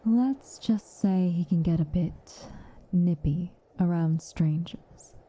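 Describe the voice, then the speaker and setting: Woman playing a slow, dark-voiced, breathy witch. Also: fairly low pitch. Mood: curious, reassuring. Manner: measured, soft, often dropping to a whisper, dryly commanding. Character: stern but caring tsundere witch woman, protective. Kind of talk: ASMR roleplay, intimate acted monologue.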